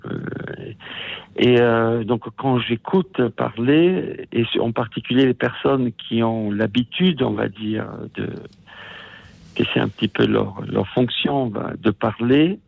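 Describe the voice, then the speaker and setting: A man's voice: mid-range voice, vocal fry, no echo. Italian man calling in over a phone. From Italy. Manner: hesitant, halting, many restarts. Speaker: radio caller, musician. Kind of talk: phone-in call to a radio show. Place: at home. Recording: tinny phone line.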